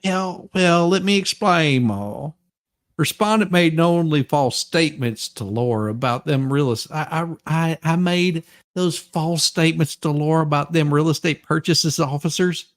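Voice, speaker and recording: A woman's voice: deep voice, normal speed, pitched below the performer's own voice, lightly acted. A woman voicing a folksy, sassy Southern courtroom witness in a theatrical, stammering comedic reading. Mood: defensive, folksy.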